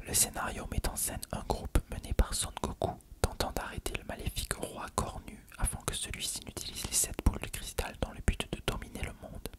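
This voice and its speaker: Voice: a little fast, hardly any pauses. Whispered ASMR narrator reading in French. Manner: unvoiced whispering throughout.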